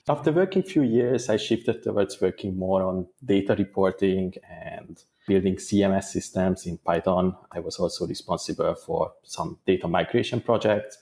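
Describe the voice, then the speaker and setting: A man gives interview answers in a fairly low voice. He speaks in a thoughtful, measured way and sometimes restarts a phrase while he finds his words. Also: dark tone. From Hungary. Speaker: guest data engineer.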